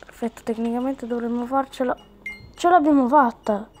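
A woman with a fairly high voice gives casual game commentary. She is chatty, slipping between her own remarks and reading the game's text out loud. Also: fairly even intonation, normal speed, a little hiss or hum. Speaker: gaming video host.

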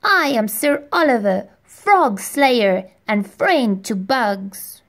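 A woman doing a high-pitched anxious little boy. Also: big pitch swings, slow pace, fairly bright tone, exaggerated voice. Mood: boastful. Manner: high, pleading, anxious questions. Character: scared little boy knight, earnest.

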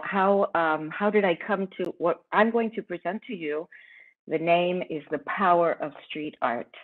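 Woman on a tinny video call. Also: sits low. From Argentina. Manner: friendly, chatty, many ums and restarts. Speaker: tour guide presenting online. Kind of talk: conference presentation over video call. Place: indoor room at home. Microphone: laptop webcam on a video call.

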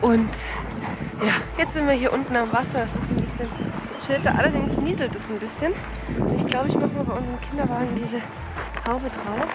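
A woman in her early thirties, a mother chatting casually to a handheld camera on a walk by a lake outdoors and calling out loudly to her dog now and then. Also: fairly high pitch, a little fast, very noisy. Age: early 30s. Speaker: vlogger and mother. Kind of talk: casual walk-and-talk vlog. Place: outdoors on a lakeshore beach. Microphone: handheld camera at arm's length.

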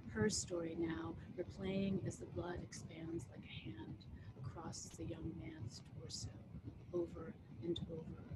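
Middle aged american lady reading her poems, deliberate phrasing, really noisy webcam audio. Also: fairly low pitch. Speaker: poet. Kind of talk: poetry reading with casual introductions. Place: book-lined wood-panelled room at home, indoors. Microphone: computer mic on video call. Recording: very noisy video-call audio.

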